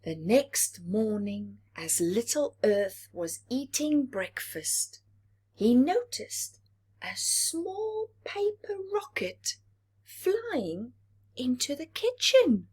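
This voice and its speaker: A woman narrates a children's picture book in a slow, deliberate way. Her pitch moves in lively swoops for dramatic effect, and her voice has a husky, breathy edge. She speaks as if directly to young listeners. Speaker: children's story reader. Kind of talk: expressive read-aloud picture-book narration with whispered asides.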